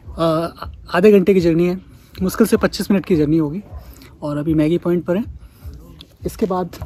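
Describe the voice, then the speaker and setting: A man in his thirties, chatty and excited, vlogging outdoors on a mountain road into a phone held at arm's length. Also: high voice, a little hiss or hum, low-quality recording. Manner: chatty, excited about the scenery, sings his own song. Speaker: travel vlogger. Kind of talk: casual travel vlog narration.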